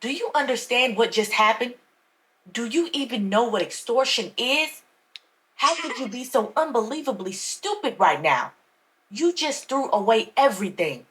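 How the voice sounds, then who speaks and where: A woman's voice: on the high side, expressive, moderate pace, a bit bright, poor audio. Reproachful young woman, read by a female narrator. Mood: scolding. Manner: pleading, reproachful. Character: disappointed, scolding young woman.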